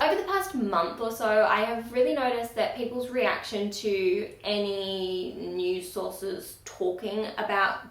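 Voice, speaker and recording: A woman giving outspoken, emphatic commentary in a video blog, talking loudly and firmly about what she stands for. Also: medium pitch, gravelly, reverberant, low-quality recording. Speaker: video creator. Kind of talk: opinionated commentary vlog.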